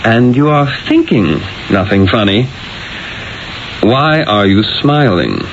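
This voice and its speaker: An urbane Renaissance master painter, exasperated and probing, in a theatrical radio play style. His lowish voice swings up and down emphatically. The sound is dull, old radio with clear hiss. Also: sing-song, slow, full character voice. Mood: baffled, exasperated. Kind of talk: 1970s radio drama acting.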